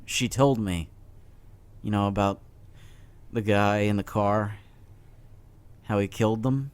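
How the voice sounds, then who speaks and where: A man playing an awkward, skeptical teenage boy in a comic dub, speaking haltingly with stammers. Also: on the low side, steady tone, clean tone. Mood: awkward, sincere. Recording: noticeable background noise.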